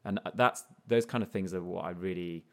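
Deep-voiced, easygoing man. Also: a little fast, a little mellow. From grew up in Portugal, then England. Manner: reflective, loose and easygoing, with frequent restarts. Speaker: artist guest. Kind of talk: casual conversational interview.

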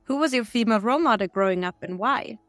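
A woman hosting an interview, reading scripted questions in a steady, measured way. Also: fairly high pitch, dry recording, soft background music. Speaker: podcast interviewer. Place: Stockholm.

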